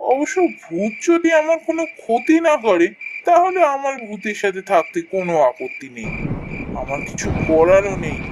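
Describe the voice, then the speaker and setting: A man's voice: sits high, noisy background. A man voicing a good-natured, worried young village cowherd in a cartoon, musing expressively with a Bengali accent. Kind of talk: cartoon voice acting, thinking aloud.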